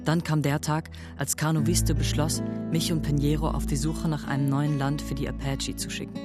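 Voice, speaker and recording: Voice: mid-range voice, flat delivery, moderate pace, lightly acted. A performer playing an adventurous Apache raider who vividly recounts a youth of raids in a German radio drama. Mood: proud, reminiscing. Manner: reminiscing, vivid. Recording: loud guitar music behind.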